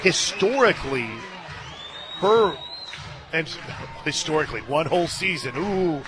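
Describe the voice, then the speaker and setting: A man doing live radio play-by-play of softball, mixing excitable calls with chatty asides. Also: on the high side, measured pace, over music, a crowd in the background. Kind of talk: live softball commentary mixed with casual chat. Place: stadium radio booth.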